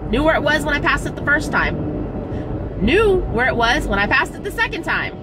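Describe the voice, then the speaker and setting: A Texan woman in her forties, slightly raspy from a cold, chatting folksily to camera while driving her car. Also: high-pitched, sing-song, moderate pace. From Texas. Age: 40s. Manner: chatty, folksy, laughs easily. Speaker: gardening vlogger. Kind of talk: casual chat to camera. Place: inside a moving car. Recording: loud car road noise behind voice.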